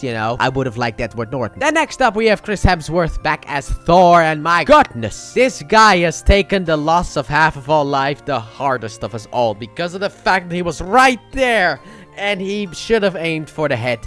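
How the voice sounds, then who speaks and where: A man's voice: fairly high pitch, a bit bright. A man giving a casual, enthusiastic movie review, stressing his words emphatically. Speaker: film reviewer, channel host. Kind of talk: casual scripted-loose movie review commentary.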